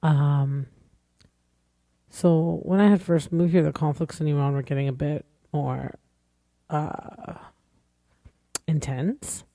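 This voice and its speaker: A woman in her forties talks straight into the mic in a loose, rambling podcast monologue. Her tone is earnest and conversational, with a warm, mellow voice. The sound is dry, with no room echo. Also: low-pitched, fairly even intonation, slow pace, pauses often. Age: 40s. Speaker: podcast host.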